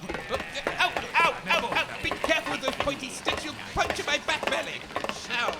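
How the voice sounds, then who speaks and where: An actor playing a fussy, vain, cowardly adventurer who stammers and gets flustered, in a comic audio drama. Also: male voice, very high-pitched, normal speed, heavy background noise.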